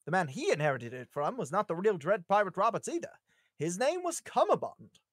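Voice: mid-range voice, very animated, brisk, dry recording, studio quality. A performer reading a hero's lines in a casual comedy table read on a group video call, playful and given to ad-libs. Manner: playful, breaking into laughter and ad-libs.

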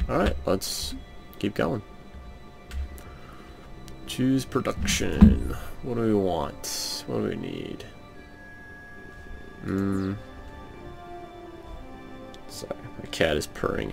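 A man with a deep, gravelly, raspy voice talks his way through a strategy game at a slow, unhurried pace. He thinks aloud with plenty of ums and trailing phrases. Quiet game music sits underneath. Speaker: let's play gamer. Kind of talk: casual gameplay commentary. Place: home room.

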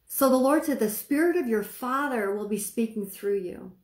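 A middle-aged woman with a soft speaking voice, preaching casually to a phone camera and checking that listeners follow her. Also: fairly high pitch, moderate pace, roomy sound. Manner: chatty, asks the viewer questions, stretches words for emphasis. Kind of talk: informal sermon to camera. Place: small room indoors, seated.

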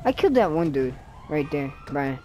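A man giving excited, panicky commentary while playing a driving game, repeating words rapidly when things go wrong. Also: a little high, slight background noise. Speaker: gameplay commentator.

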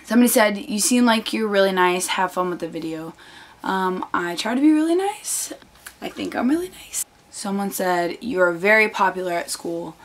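A woman's voice: medium pitch, expressive, normal speed. A teenage girl losing her voice, chatting earnestly to camera from her bedroom with lots of fillers. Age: teens. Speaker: vlogger. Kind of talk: casual chat to camera. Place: bedroom, indoors. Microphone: camera on desk, close framing.

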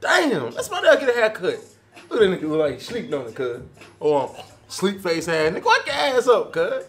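A man's voice: high-pitched, wildly swooping pitch, a little fast. A man roasting a friend in a barbershop, laughing through his insults. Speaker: customer in a barbershop sketch. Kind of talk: scripted comedy banter. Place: barbershop, indoors.

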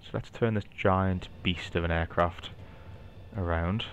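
Geordie male, deep, easygoing explainer thinking aloud, slightly muffled home-recorded sound. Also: a little slow, a little hiss or hum. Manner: relaxed, explanatory, thinking aloud with light asides. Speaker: flight simulator video maker. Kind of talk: casual narrated gameplay walkthrough.

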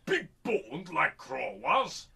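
A man playing a stately winged alien sidekick with a formal, deliberate manner in a radio sci-fi serial. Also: a little high, huge pitch swings, vocal fry, pushed and bright, slightly rough, far from the mic, full character voice. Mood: solemn, dry. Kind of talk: old-time radio sci-fi serial acting.

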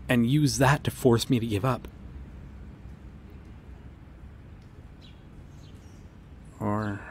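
A man playing an earnest, guarded police detective in an ASMR audio drama, speaking haltingly with long pauses. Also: mid-range voice, a little hiss or hum. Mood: pained, conflicted. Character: earnest, guarded male police detective questioning someone he cares for. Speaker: voice actor in an audio roleplay. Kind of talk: ASMR roleplay drama, acted one-sided dialogue.

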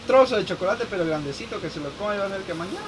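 A woman's voice: mid-range voice, expressive, very fast. Guatemalan woman chatting over outdoor group chatter. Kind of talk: casual group chat, teasing storytelling. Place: outdoors in a rural yard.

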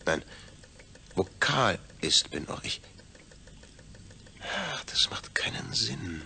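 Brooding, low-voiced astronaut. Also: male voice, big pitch swings, vocal fry, bright, forceful tone, in a whisper, exaggerated voice. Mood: searching, puzzled. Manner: brooding, deliberate, theatrical soliloquy. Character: pensive astronaut man puzzling over his own detached brain. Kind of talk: German audio drama monologue.

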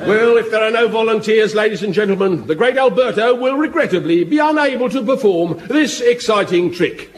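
An actor playing a pompous variety-show compere in a vintage radio comedy. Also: male voice, high-pitched, brisk, few pauses, natural voice. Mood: grand. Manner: grand, pompous announcing.

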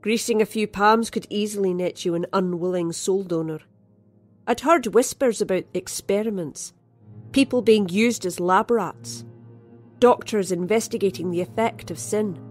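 A woman reading a scripted first-person horror story in a measured, dramatic, literary manner. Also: mid-range voice, a little mellow, faint music behind. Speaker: story narrator.

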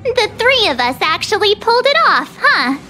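A woman voicing a perky, blunt, skeptical young girl adventurer in a video game, brash and expressive. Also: very high-pitched, normal speed, faint music behind, full character voice. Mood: cheeky.

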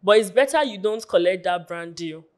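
A woman in her twenties from Lagos with a Nigerian accent, a guest influencer chatting casually in a small studio on a boom mic, full of "like" fillers. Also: animated, moderate pace. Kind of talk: casual interview chat. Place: small pink-walled studio. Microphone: studio mic on boom arm.

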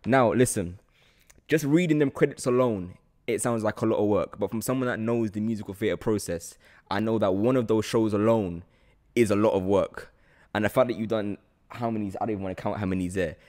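A man in his twenties hosting a casual interview, talking into a close microphone on a boom arm. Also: brisk, a little mellow. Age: 20s. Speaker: podcast host, actor. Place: small indoor room with desk. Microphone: close studio mic on boom arm.

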